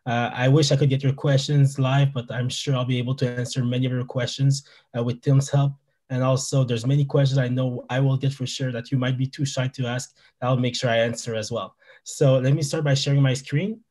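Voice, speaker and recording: A man's voice: mid-range voice, flat delivery, fairly quick, darkish tone. A young man from Quebec with a French-Canadian accent, a native French speaker, giving a friendly presentation as a biologist over a video call with a headset, with many fillers. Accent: Québécois French. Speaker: wildlife biologist guest. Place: small room indoors.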